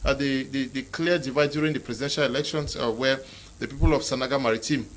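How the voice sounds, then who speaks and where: A man's voice: medium pitch, steady tone, fast talker, poor audio. A man hosting a heated panel debate, pressing the guests with probing questions.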